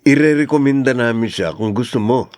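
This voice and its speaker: A man's voice: medium pitch, brisk, darkish tone, no echo, clean studio sound, close to an everyday voice. An actor playing a concerned, protective man in a radio drama, reasoning earnestly with his friends. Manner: earnest, questioning. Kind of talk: Tagalog radio drama acting.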